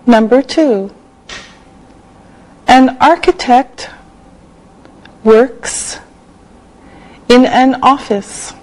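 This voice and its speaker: An older woman teacher speaks at an extremely slow, deliberate pace, pronouncing each word with care for learners. Her voice sits a little high. She is recorded close on a lapel mic in a TV studio. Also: a little mellow, rough recording. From Canada. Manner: slow, clearly enunciated dictation. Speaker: English teacher. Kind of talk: slow scripted classroom teaching. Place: TV studio set.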